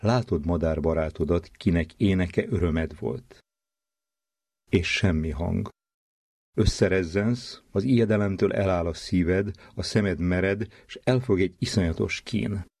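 Male actor as anguished man losing his hearing, low dark voice, solemn radio reading, dry sound. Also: husky, no echo. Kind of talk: dramatic literary reading.

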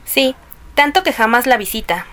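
A woman's voice: fairly high pitch, expressive, normal speed, a bit bright. An actress with a Mexican accent playing a touchy, gossipy older woman in a radio drama, opinionated and teasing. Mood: sly.

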